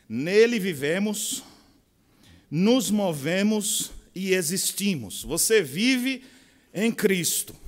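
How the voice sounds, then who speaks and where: A middle-aged man with a Bahian Portuguese accent, preaching a sermon with expressive swings from quiet asides to loud, slow emphasis. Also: fairly high pitch. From Brazil. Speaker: pastor. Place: large conference hall. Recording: long hall reverb.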